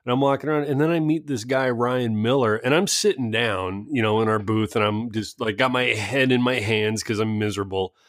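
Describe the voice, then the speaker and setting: A man's voice: mid-range voice, fairly quick, hardly any pauses, a bit bright, dry recording, clean studio sound. A man in casual conversation, joking bluntly and swearing freely. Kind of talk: casual chat.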